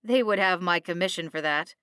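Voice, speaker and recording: A woman's voice: lowish voice, a little fast, bright, forceful tone, smooth, dry recording, clean studio sound. A woman voicing a thin, authoritative male military captain in a storybook, sceptical, clipped and sardonic. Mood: dry, sceptical.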